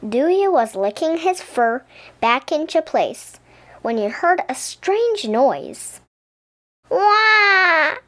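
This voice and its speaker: High, animated woman reading a storybook. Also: slow. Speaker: storybook reader. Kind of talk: expressive children's story narration.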